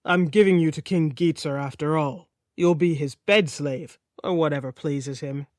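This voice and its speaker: A woman's voice: low-pitched, moderate pace, darkish tone, dry recording, clean studio sound, pitched below the performer's own voice, natural voice. A woman voicing a huge, blunt young male warrior prince who speaks tersely and awkwardly. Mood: cold. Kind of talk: audiobook character voice.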